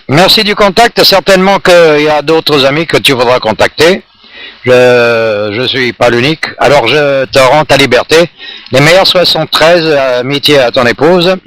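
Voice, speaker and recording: A man's voice: medium pitch, a bit bright, no room sound. A man chatting casually and in a friendly way as an amateur radio operator during a contact.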